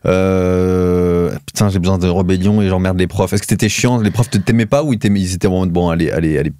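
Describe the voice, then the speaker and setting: A man's voice: low voice, fairly even intonation, a little fast, mellow, dry recording, studio quality. A young man hosting a casual podcast interview, talking loosely with slang and frequent stammered restarts. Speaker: podcast host.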